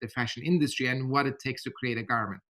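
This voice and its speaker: A middle-aged man from Turkey with a Turkish accent, giving a podcast interview in a measured, thoughtful way with many hesitations. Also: mid-range voice, a bit bright. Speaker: interview guest.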